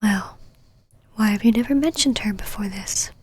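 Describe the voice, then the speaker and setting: A woman's voice: mid-range voice, moderate pace, a little mellow, breathy edge, no room sound, close to an everyday voice. A woman playing an imperious, possessive female vampire knight in an ASMR roleplay, whispering condescending endearments and commands. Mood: suspicious, possessive.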